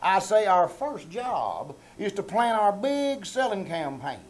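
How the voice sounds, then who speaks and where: Man playing a high-pitched, officious country old-timer. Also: sing-song, takes it slowly, exaggerated voice. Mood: pompous. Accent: rural Southern American. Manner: folksy, officious, mock-formal. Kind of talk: old-time radio comedy reenactment on stage. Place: small-town theater stage before an audience. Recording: live theater recording with background noise.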